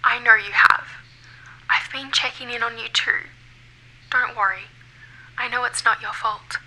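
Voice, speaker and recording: A woman playing a ghostly woman in an audio drama, relieved to be heard, brisk and blunt. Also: fairly high pitch, fairly even intonation, pushed and bright, full character voice, rough recording. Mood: reassuring. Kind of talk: audio fiction acting.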